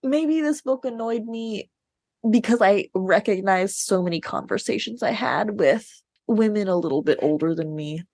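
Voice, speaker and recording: A woman's voice: medium pitch, expressive, moderate pace, darkish tone. Female podcast co-host, mid-range voice, frank and opinionated, informal book chat. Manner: candid, opinionated, laughs while talking. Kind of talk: casual book discussion.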